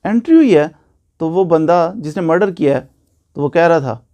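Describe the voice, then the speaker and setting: A man's voice: on the high side, animated, normal speed, dark tone. A man with a Pakistani accent giving an informal law lecture, explaining by repeating points and posing questions that he answers himself.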